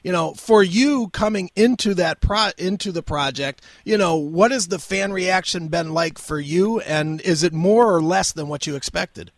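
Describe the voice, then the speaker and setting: A man's voice: sits high, moderate pace, few pauses, dry recording, studio quality. A male radio host interviewing a guest, asking questions in an upbeat, enthusiastic way.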